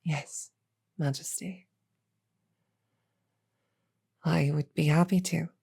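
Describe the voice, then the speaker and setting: A soft-spoken demoness archer acted by a woman in an audio drama, deferential and quietly affectionate. Her voice is low and mellow, with a hushed, gentle delivery at a very slow, measured pace. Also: steady tone, creaky, husky, heavily acted.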